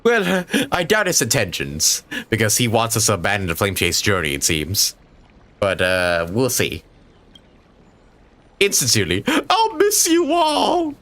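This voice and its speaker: A man's voice: fairly low pitch, wildly swooping pitch, measured pace, fairly bright tone. A man giving casual live reaction commentary on a video game, joking and sarcastic, with some swearing.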